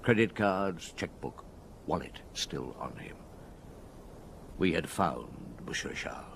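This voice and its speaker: Low-voiced, shrewd police chief inspector. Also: male voice, expressive, slow, creaky, a bit bright, a little hiss or hum, exaggerated voice. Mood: grave, reflective. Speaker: lead actor and narrator. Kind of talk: detective audio drama, narration and dialogue.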